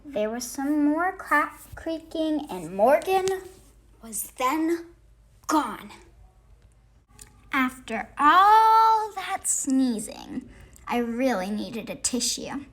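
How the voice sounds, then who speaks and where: A woman narrates a children's picture book aloud. Her voice is fairly high and very lively in pitch, and she goes very slowly, shaping phrases for drama. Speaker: storybook reader.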